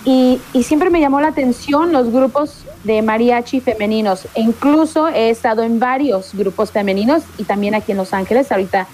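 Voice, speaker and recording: A middle-aged woman from Mexico, a singer-songwriter, chatting casually in an interview and often pausing to search for words. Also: on the high side, a little mellow, slight background noise.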